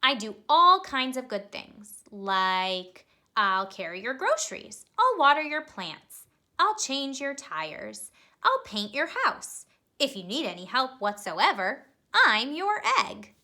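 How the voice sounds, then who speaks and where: A woman reading a children's picture book aloud, telling it expressively and pausing to ask young listeners questions. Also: high-pitched, sing-song, bright, forceful tone. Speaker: storybook reader.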